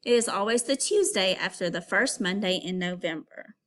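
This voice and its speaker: A woman teacher reading aloud to young pupils and explaining as she goes, in an instructive, clearly phrased way. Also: mid-range voice, expressive, normal speed, a bit bright. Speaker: elementary school teacher. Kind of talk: read-aloud reading lesson for children.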